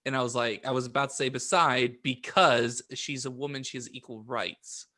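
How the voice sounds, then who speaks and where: Bright-voiced, self-deprecating young man. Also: mid-range voice, steady tone, normal speed. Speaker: podcast co-host. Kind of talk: casual chat.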